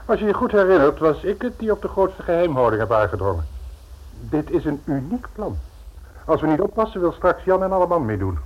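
A man's voice: a little high, sing-song, fairly quick. A man acting in a radio drama as a haughty, self-assured knighted businessman with a dry, pompous, unruffled manner. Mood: smug, dry. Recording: dull old radio drama recording, noisy.

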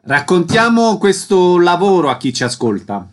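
A man's voice: high-pitched, big pitch swings, normal speed. A man hosting an arts radio programme, presenting events and putting questions to guests. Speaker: radio host.

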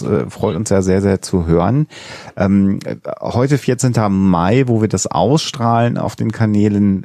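A man's voice: on the low side, warm tone, no room sound, clean studio sound. A man hosting a podcast interview, putting long, thoughtful questions in a conversational and slightly hesitant way.

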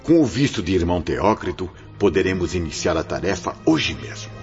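A lowish, animated Brazilian male voice as a benevolent, dignified spirit guide in a radio play. His delivery is formal, courteous and declamatory, with expressive swings in pitch. Music runs under a slightly dull recording. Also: fairly quick. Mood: solemn, benevolent. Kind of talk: radio drama acting. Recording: dull lo-fi radio drama audio. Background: music behind the voice.

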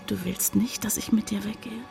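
An actress playing a bitter, pleading village hotel owner in a German radio drama, intense and reproachful. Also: female voice, mid-range voice, steady tone, moderate pace, gravelly, mellow, soft background music. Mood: hurt, reproachful.

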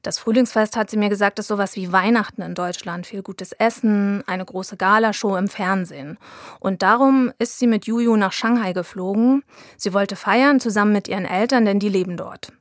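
A woman reporter giving scripted documentary narration in a calm, even, storytelling way. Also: medium pitch, normal speed, no echo, studio quality. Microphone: studio mic.

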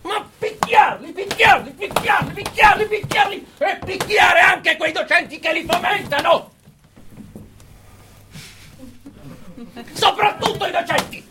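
An actor playing a fanatical political orator in a theatrical radio monologue, emphatic and full of dramatic pauses. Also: male voice, extremely high pitch, noisy background. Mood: frenzied, shouting. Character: fanatical male political orator urging brutal repression.

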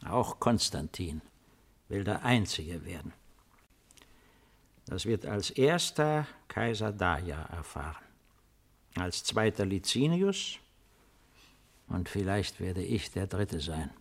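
An actor in a 1950s German radio play playing an old, weary, retired Roman emperor with a deliberate, ironic, theatrical delivery. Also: male voice, low voice, very animated, breathy edge, heavily acted. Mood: bitter, reflective. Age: older.

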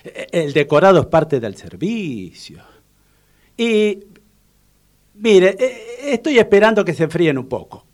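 A man's voice: high-pitched, big pitch swings, a little slow, pauses often, a little mellow, full character voice. An actor playing a proud, fussy older neighborhood man who cooks, with an Argentine Rioplatense accent and a hesitant, repetitive, self-important manner, in a comic radio drama. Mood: obliging.

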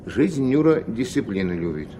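An actor playing a gruff, strict old river captain and grandfather, curt and moralising, in a Soviet radio play. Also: fairly low pitch, big pitch swings, normal speed. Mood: gruff, instructive. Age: older. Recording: noisy old 1970s mono radio recording.

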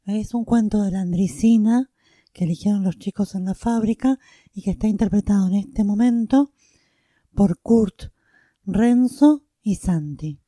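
Radio presenter: Argentine woman, medium pitch, relaxed and slow, introducing segments. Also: dry recording, studio quality. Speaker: radio show host.

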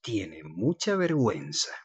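A man's voice: on the low side, wildly swooping pitch, slow, slight background noise. A man reading scripted stage directions as the narrator of a radio play.

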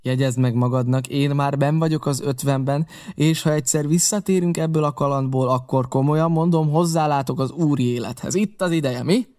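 Guy reading sly old pirate cook, wheedling and bragging, flattering the young ones. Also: a little high, lightly acted. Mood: sly boasting. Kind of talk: audiobook character reading.

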